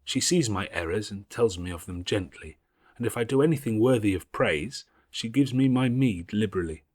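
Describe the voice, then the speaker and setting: A man voicing a patient, devout, bookish older schoolgirl in an audiobook, speaking in a measured, earnest, moralising way. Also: on the low side, lively intonation, a little mellow, no echo, studio quality. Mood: grave, self-reproachful. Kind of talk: audiobook character voice.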